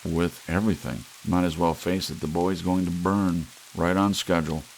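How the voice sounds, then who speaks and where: A man's voice: low-pitched, normal speed, creaky, poor audio. A man voicing an irritable, world-weary husband, blunt and exasperated, in a short story reading. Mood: resigned, bitter. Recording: steady hiss, rain sound underneath.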